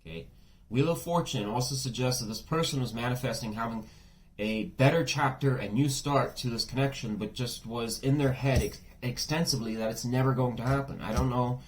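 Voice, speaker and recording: A man giving a casual tarot reading, chatty and blunt, swearing freely and full of asides, his voice a bit worn and hoarse as if under the weather. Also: medium pitch, a little fast, poor audio. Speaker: tarot reader.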